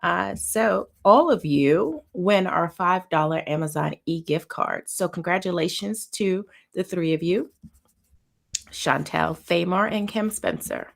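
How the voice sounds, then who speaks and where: Woman, therapist host, slightly nasal with a head cold, lower voice, casual, greets viewers, frequent ums. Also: measured pace, creaky. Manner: chatty, warm greetings to viewers by name, many ums. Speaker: therapist hosting a weekly live show. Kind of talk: casual live-stream talk.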